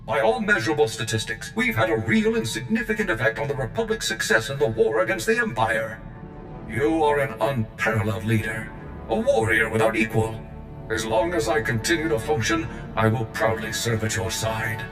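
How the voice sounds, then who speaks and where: An actor playing a loyal, zealous military droid in a video game, speaking formally, precisely and earnestly. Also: male voice, fairly low pitch, normal speed, lightly acted. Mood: proud, devoted. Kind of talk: scripted video game acting. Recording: game cutscene with echo and music.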